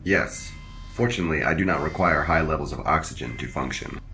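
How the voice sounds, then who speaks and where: An actor playing a formal, measured, deadpan security officer in a science fiction audio drama. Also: male voice, a bass voice, vocal fry, a little hiss or hum, exaggerated voice. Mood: composed, dutiful. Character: stiff, dutiful male security officer on a spaceship. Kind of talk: scripted audio drama acting.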